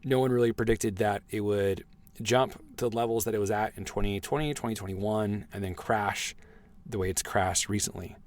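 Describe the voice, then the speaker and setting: Hoarse man hosting a motivational podcast. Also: fairly low pitch, fairly even intonation, moderate pace. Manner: earnest, motivational, with frequent "right?" tags. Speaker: podcast host. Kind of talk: solo podcast monologue.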